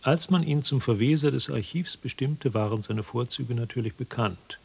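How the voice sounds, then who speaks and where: A man narrating a literary radio drama in a measured, formal, dryly ironic manner, with a slightly husky voice. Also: mid-range voice, darkish tone. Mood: detached, wry. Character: detached, wry literary narrator of an absurd tale.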